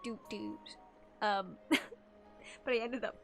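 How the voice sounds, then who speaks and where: A woman's voice: medium pitch, lively intonation, takes it slowly. A woman streaming a game, chatting casually in a giggly, rambling way. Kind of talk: casual live chat commentary. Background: faint game music.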